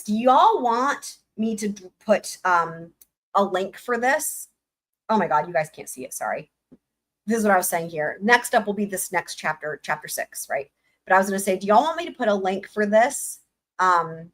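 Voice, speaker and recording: A woman hosting a casual livestream, excited and rambling, her voice going hoarse. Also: medium pitch. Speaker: livestream host.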